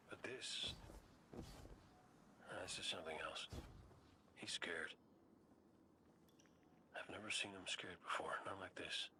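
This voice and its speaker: An actor playing a wisecracking, gruff but sentimental robot gunslinger in a video game, with a wry, folksy way of talking. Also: male voice, low-pitched, pushed and bright, far from the mic, a little hiss or hum. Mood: somber.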